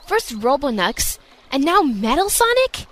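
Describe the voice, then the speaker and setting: A woman voicing an anxious, excitable young female cartoon animal in an amateur radio drama. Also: sits high, unhurried, exaggerated voice. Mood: alarmed.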